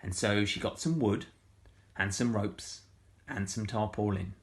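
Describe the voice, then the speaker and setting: A man reading a picture book aloud to schoolchildren, animated and full of sound effects. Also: lowish voice, unhurried. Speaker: storytime reader for schoolchildren.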